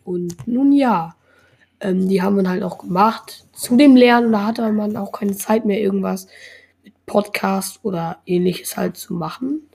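A woman's voice: mid-range voice, darkish tone, breathy edge. A young woman in her teens hosting a casual podcast, rambling along with lots of filler words. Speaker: podcast host.

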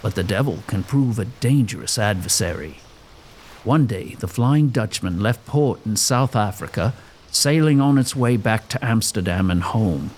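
A man's voice: lowish voice, lively intonation, normal speed, a little mellow. A man playing a storyteller who narrates a gothic sea legend in a dramatic scripted style. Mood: storytelling.

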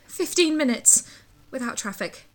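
A woman's voice: high voice, animated, measured pace. A woman playing a frightened, exasperated young daughter in an audio drama. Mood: anxious, dry. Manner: exasperated, sweary.

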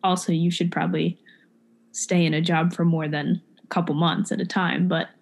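A woman's voice: lowish voice, level tone, moderate pace, vocal fry. A woman in her twenties making an earnest point in a casual discussion, asking rhetorical questions.

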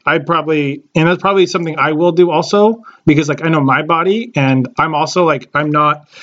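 A man's voice: fairly high pitch, highs cut off. A man in his late twenties, a podcast co-host chatting casually and rambling with many fillers and restarts. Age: late 20s. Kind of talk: casual chat.

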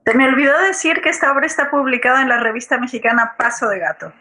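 A woman's voice: sits high, fast, sharp tone. A woman from Colombia speaking casually and off the cuff as a guest playwright on an online call. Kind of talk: casual, spontaneous thanks.

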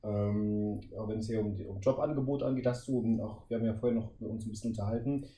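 A man's voice: lowish voice, steady tone, quick pace, warm tone. A man co-hosting a podcast, asking casual, conversational interview questions. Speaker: podcast co-host. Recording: roomy echo.

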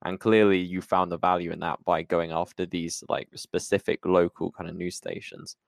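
A man with a deep voice hosts a podcast interview. His delivery is conversational, and the sound is dry with hardly any room echo. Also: flat delivery, moderate pace, gravelly. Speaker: podcast host.

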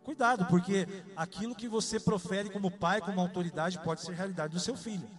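A man's voice: high-pitched, a little fast, soft background music. A man from Salvador, Bahia, preaching a conversational sermon and often asking his listeners questions. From Salvador, Bahia, Brazil. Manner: conversational, asks the audience questions.

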